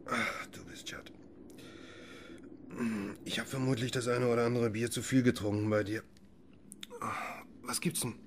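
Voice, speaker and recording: A man's voice: medium pitch, fairly even intonation, unhurried, long pauses, a little hiss or hum, close to an everyday voice. A man acting a weary, sceptical middle-aged family man in a German radio drama. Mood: uneasy.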